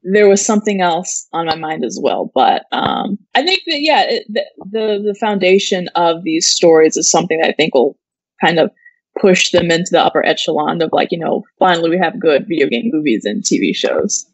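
A woman's voice: fairly low pitch, animated, brisk, dry recording, low-quality recording. Woman in casual chat, dry sound. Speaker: co-host of an entertainment news show. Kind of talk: casual conversational pop-culture chat.

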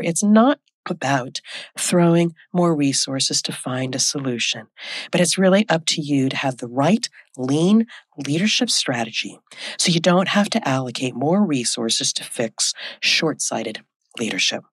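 A woman's voice: low voice, breathy edge, no echo. A woman hosting a solo business podcast who is losing her voice. She coaches leaders in a direct way and asks many rhetorical questions. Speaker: podcast host and leadership consultant.

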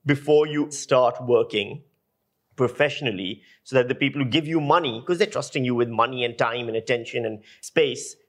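A man's voice: mid-range voice, normal speed, a bit bright. Male lecturer and audio journalist from Pakistan. Informal teaching, emphatic and explanatory, asks rhetorical questions. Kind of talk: informal instructional talk.

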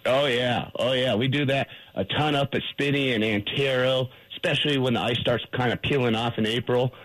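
A man with a mid-range, husky voice talks as a radio guest. He explains things eagerly, sprinkling in fillers as he goes. The sound is a radio broadcast. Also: medium pitch, steady tone, fairly quick, fairly bright tone, low-quality recording. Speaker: fly shop guest, fishing instructor.

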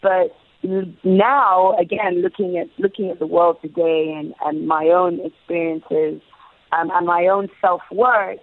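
A woman's voice: lowish voice, steady tone, unhurried, no room sound. A British-raised woman speaking as an interview guest, reflective and thoughtful, often restarting and repeating words as she thinks. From United Kingdom. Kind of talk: casual interview talk. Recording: phone-like narrow sound.

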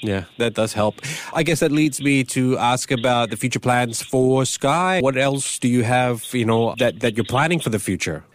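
A man's voice: medium pitch, normal speed, few pauses, slight background noise. A man hosting an interview, asking questions in a conversational way. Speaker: interviewer, host.

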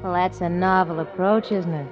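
Actress as a cool, aloof nightclub organist. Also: female voice, lowish voice, fairly even intonation, moderate pace, natural voice. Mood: cool, teasing. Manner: aloof, dryly flirtatious. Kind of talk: 1940s radio drama acting. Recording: dull old radio recording, organ music.

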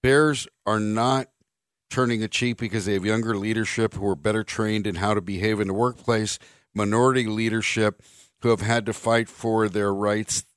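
Blunt, sarcastic middle-aged radio host. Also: male voice, lowish voice, no room sound, studio quality. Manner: blunt, sarcastic, reads listener texts. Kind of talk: opinionated sports talk radio. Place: radio studio. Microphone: studio microphone.

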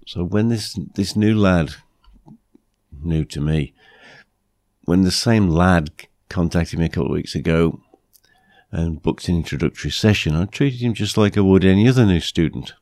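A British man hosting a podcast with a blunt, plain-spoken manner, his voice croaky from flu. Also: sits low, normal speed, darkish tone, no echo, studio quality. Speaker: podcast host. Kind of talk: podcast monologue, anecdotes and advice.

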